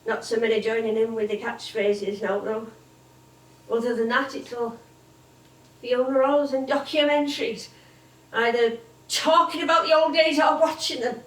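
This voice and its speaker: An actress playing an older English music-hall comedienne on stage, wistful and chatty, reminiscing in a rambling way. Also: female voice, a little high, steady tone, moderate pace, lightly acted. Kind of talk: theatrical stage monologue and dialogue. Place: theatre stage. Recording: echoey stage, distant microphone.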